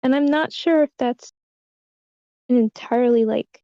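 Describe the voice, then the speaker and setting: High, bright woman on a dull call. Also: a little slow, no room sound. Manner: tentative, giggly, trailing off. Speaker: table-read participant giving script feedback. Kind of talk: casual spoken critique. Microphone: online meeting call. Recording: dull lo-fi online meeting audio.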